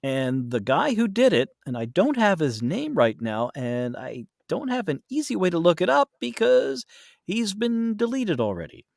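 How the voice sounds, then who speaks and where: A man in a casual podcast conversation, explaining things and giving his opinions in an animated way. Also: sits high, normal speed, creaky, no echo, clean studio sound. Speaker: podcast guest, voice actor.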